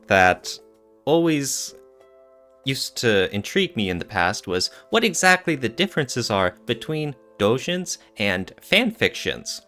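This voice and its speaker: A man's voice: mid-range voice, bright, forceful tone. A man co-hosting a podcast, explaining things in a casual, thinking-aloud way. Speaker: podcast co-host.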